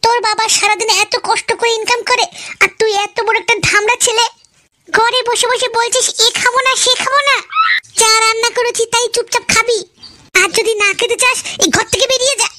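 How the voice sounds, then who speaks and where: Man putting on a shrill, stern cartoon mother. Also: fairly even intonation, brisk, pushed and bright, dry recording, exaggerated voice. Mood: stern scolding. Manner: nagging, scolding. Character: stern, scolding Bengali mother of a grown son. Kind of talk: comedy cartoon dialogue.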